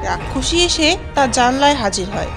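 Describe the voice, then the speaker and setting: A woman's voice: high voice, lively intonation, fairly quick, gravelly, loud music behind the voice. A woman narrating a scripted children's cartoon story.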